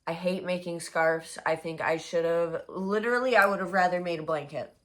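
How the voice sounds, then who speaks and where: A young woman chatting casually in a crochet vlog, her voice hoarse and congested, rambling with many fillers. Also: fairly low pitch, normal speed, fairly bright tone. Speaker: crochet vlogger.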